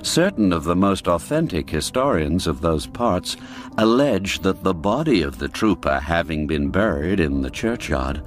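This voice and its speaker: A man narrating a classic radio story in a measured, deliberate storytelling manner. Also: low voice, big pitch swings. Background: faint background music.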